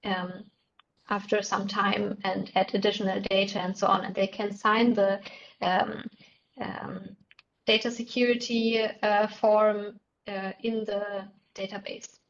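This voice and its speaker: A woman's voice: medium pitch, level tone, unhurried, creaky, slight background noise. A woman answering as a clinician at a conference, hesitant and searching for her words, on slightly muffled audio. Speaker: clinician presenting.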